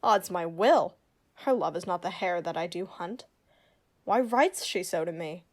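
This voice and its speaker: A woman acting a witty young noblewoman disguised as a male youth in a Shakespearean radio play, with brisk, teasing, theatrical delivery. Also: lowish voice. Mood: scornful.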